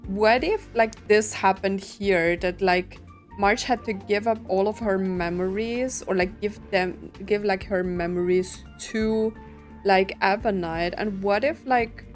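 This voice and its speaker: A woman's voice: medium pitch, a little slow. A woman doing casual let's play commentary, slangy and puzzled as she thinks aloud. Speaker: streamer reacting to a game story. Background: faint game music and dialogue.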